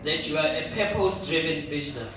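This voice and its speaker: A man's voice: a little high, quick pace. A man moderating a panel, probing his guests in a teasing, questioning manner. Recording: echoey hall from far off, noisy.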